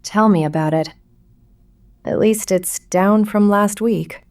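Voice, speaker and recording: A woman playing a laconic, deadpan night-shift cashier in an audio drama. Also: mid-range voice, slow, recorded from a distance. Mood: friendly, dry. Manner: deadpan, terse.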